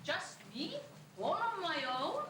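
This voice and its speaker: A woman playing a gentle, trusting young heroine in an amateur rhyming pantomime, earnest and polite. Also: extremely high pitch, very animated, slow pace, far from the mic, exaggerated voice. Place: school hall stage, far camera. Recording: echoey, noisy amateur stage recording from afar.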